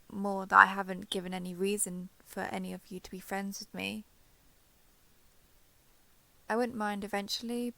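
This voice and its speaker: A woman's voice: mid-range voice, vocal fry, sharp tone. A woman playing a shy, guarded villain turned reluctant ally in a roleplay audio drama, speaking hesitantly and with reserve. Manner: hesitant, halting, reserved, understated. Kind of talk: roleplay audio drama, intimate one-sided dialogue.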